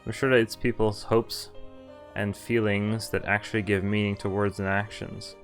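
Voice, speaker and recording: A man's voice: fairly low pitch, level tone, normal speed. A man narrating visual novel text aloud as a game streamer, occasionally stumbling over words as he reads. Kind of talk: read-aloud story narration. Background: game music.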